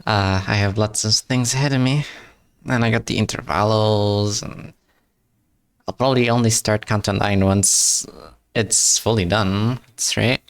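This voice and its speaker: A man's voice: on the low side, steady tone, a little slow, clean tone, no echo. A man streaming live, chatting casually with viewers in English, which is not his first language, often stumbling and repeating words and joking at his own expense. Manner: stumbles and repeats words, answers chat with joking self-mockery.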